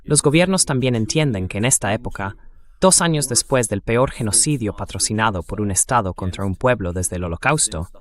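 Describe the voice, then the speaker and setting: A man's voice: lowish voice, expressive, a little fast. A man giving emphatic, articulate political commentary as the dubbed voice of a guest.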